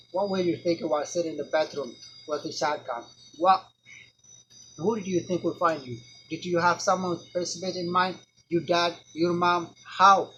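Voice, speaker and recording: A man gives voice to a young man who confronts a lost friend with insistent, raw questions. The voice sits a little high. Faint crickets sound behind him. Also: highs cut off, slight background noise, lightly acted. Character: confronting youth asking painful questions. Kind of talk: dramatic monologue reading.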